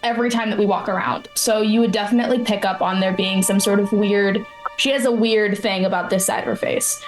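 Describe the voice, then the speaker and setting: A woman's voice: medium pitch, steady tone, fairly quick, low-quality recording. A woman playing a tabletop roleplaying game, chatting casually and improvising what her character does. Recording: music bed behind the voice. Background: music.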